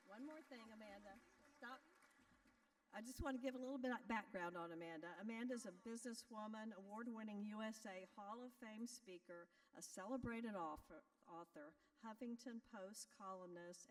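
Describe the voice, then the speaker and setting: A woman introducing a keynote speaker, reading her background with playful breaks. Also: medium pitch. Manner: playful, breaks off mid-line, reads a formal introduction. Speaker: event introducer. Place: large hall. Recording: distant voice in a reverberant hall.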